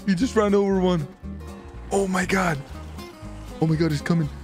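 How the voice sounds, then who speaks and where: An excitable man giving giggly gameplay roleplay commentary, often repeating himself. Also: sits high, moderate pace, soft background music. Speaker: gaming video creator.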